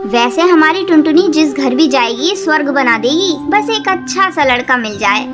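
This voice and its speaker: A fond, fussing cartoon mother bird acted by a woman. Her voice is high and chiding, yet affectionate. Loud music runs underneath. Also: lively intonation, moderate pace. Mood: reassuring. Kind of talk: Hindi cartoon story acting.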